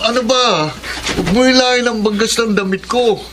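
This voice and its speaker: An actor playing a whiny, sleepy young brother in a Filipino radio drama. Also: male voice, very high voice, moderate pace, background noise. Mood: whining. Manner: whining, protesting.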